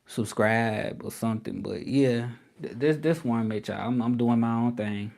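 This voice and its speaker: Man in his own livestream, mid-pitched, casual and repetitive, addresses viewers directly. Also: measured pace, vocal fry, a little mellow. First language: English. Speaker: livestreaming creator and language tutor.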